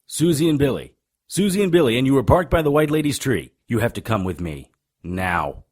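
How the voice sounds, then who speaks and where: A man playing a gruff, world-weary supernatural investigator in an audio drama, speaking in a wry, terse and insistent way. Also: medium pitch, sing-song, fairly quick, a little mellow. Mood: probing, urgent. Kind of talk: audio drama acting and first-person narration.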